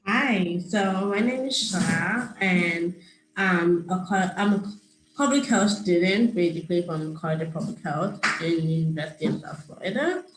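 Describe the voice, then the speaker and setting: A woman's voice: on the low side, normal speed. Young woman reading a rhyming picture book aloud. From Nigeria. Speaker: public health student volunteer. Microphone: video call. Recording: roomy video-call audio with faint hiss.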